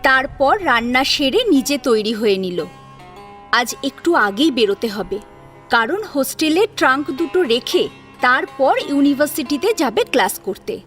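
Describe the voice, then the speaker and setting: A woman's voice: high-pitched, lively intonation, moderate pace, a bit bright. A woman reading a dramatic audio story as its narrator. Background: background music.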